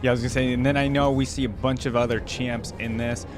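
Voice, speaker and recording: A man hosting a reaction video in a casual, informal way. His mid-pitched voice muses along and trails off quietly, with clear cinematic music playing behind it. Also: little pitch movement, fast. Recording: voice over loud game cinematic music.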